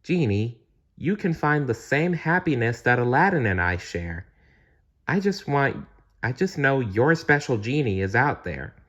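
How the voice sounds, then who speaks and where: A man voicing a kind, playful young princess in a gentle, earnest storytelling manner for a bedtime storybook. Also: medium pitch, big pitch swings, measured pace, muffled recording, pitched above the performer's own voice. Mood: encouraging.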